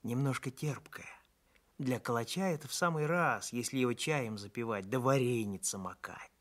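A man's voice: mid-range voice, normal speed, fairly bright tone, natural voice. A man reading the part of a hospitable, proud older village woman who bakes, with a folksy, homely way of talking.

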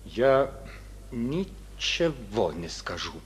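Brief: a haughty enemy major, slow and deliberate, pitch swinging widely. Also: male voice, sing-song. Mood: defiant. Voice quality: cold, defiant, measured. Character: arrogant captured male German major.

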